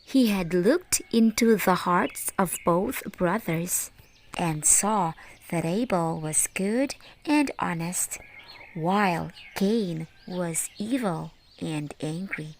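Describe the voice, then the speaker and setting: Slow-paced woman storyteller for children. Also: lowish voice, a little hiss or hum. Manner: addresses children directly, deliberate phrasing. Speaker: teacher and storyteller host. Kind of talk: children's read-aloud Bible storytelling.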